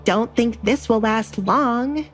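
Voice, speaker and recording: A woman's voice: on the high side, takes it slowly, soft background music, pitched above the performer's own voice. A woman voicing a jealous, haughty young noblewoman in an audio drama, scoffing and indignant. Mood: scornful.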